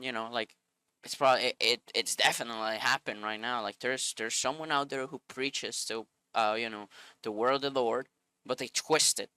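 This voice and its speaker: Sniffly man with a bright, clear voice. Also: medium pitch, no echo. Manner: earnest, searching for words. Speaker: Bible video host. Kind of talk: scripture reading then casual reflection.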